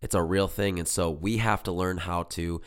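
Male podcast host, very low voice, hoarse from illness, chatty and earnest. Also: fairly even intonation, moderate pace. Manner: rambling, filler-heavy, earnest. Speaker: podcast host and business coach. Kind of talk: casual solo podcast talk.

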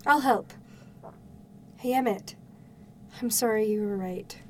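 A woman's voice: fairly high pitch, takes it slowly, recorded from a distance, a little hiss or hum. A woman playing a caring, mildly skeptical mother in an audio drama, with a conversational, questioning delivery. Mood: softening. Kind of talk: naturalistic audio drama acting.

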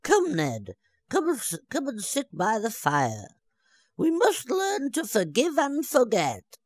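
Old storybook wizard, fussy, high-pitched, swooping, slow and fretful, voiced by a man. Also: huge pitch swings, no echo, studio quality, exaggerated voice. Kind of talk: storybook character reading.

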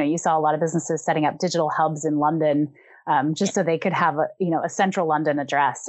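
A woman speaks as a guest on a conversational podcast, working through her points calmly and with care. Her voice sits slightly low. It is recorded dry and close, with almost no room sound. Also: no echo. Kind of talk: casual conversational discussion.

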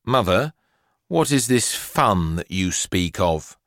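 A man's voice: low voice, very animated, slow pace, a bit bright, no echo, studio quality, heavily acted. A man voicing a pompous, humourless twelve-year-old boy from a storybook, with stiff, formal, disdainful speech. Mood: puzzled disdain.

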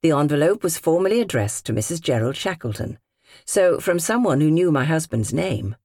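A woman with a British accent reading literary fiction as an audiobook narrator, measured and carefully phrased. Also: low voice, very animated, warm tone, breathy edge, no echo, studio quality. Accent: British English. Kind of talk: scripted literary narration in character.

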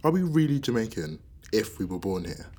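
A performer with a British accent playing a grieving, searching young British-Jamaican in a radio play, husky and hesitant. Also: medium pitch, sing-song, a little fast, darkish tone. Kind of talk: naturalistic radio play acting.

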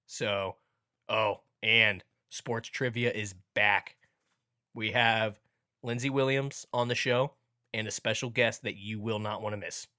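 A man's voice: lowish voice, steady tone, takes it slowly, sharp tone, clean tone. Young guy hosting a sports podcast, stuffy allergy voice cracking now and then, jokey and upbeat. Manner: upbeat, joking, playfully hammy intros. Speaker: podcast host. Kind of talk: casual podcast chat.

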